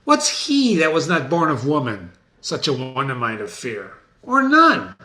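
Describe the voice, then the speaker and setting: A man playing a proud, despairing, raging warlord king in a dramatic Shakespeare reading, with drawn-out, declamatory delivery. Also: medium pitch, wildly swooping pitch, bright, forceful tone, heavily acted. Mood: cornered, defiant.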